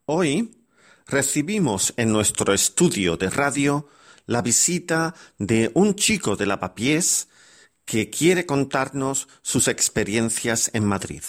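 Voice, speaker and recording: A male radio host reads a scripted introduction. His mid-pitched voice moves very slowly, with formal, deliberate phrasing. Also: sing-song.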